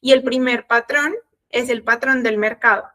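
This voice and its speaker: Higher-pitched Colombian woman coach. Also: steady tone, moderate pace, pushed and bright. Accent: Colombian Spanish. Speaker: sales coach and consultant. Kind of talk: online workshop presentation, conversational.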